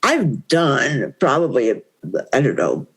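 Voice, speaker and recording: Give me an older woman chatting haltingly in an interview, modest and unsure of herself. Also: very deep voice, very animated, breathy edge. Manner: halting, hesitant, self-deprecating. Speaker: interview guest. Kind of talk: casual conversation.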